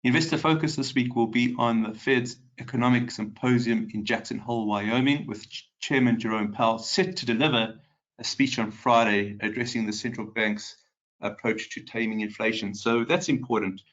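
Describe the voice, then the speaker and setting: A man's voice: mid-range voice, steady tone, normal speed, fairly bright tone. Male webinar host, nasal and a bit congested, casual with lots of fillers; dull, lo-fi audio. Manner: chatty, greets viewers by name, frequent ums. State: congested from a cold. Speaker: market specialist hosting a webinar. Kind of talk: live webinar market commentary.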